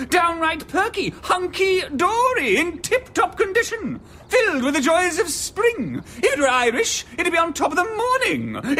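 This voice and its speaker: An actor playing an indignant, overwrought customer in a comedy radio sketch, arguing emphatically. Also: male voice, extremely high pitch, pushed and bright, slight background noise, heavily acted. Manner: emphatic, argumentative, piling up synonyms. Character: indignant, overwrought male customer in a pet-shop sketch parody. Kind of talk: British radio comedy acting.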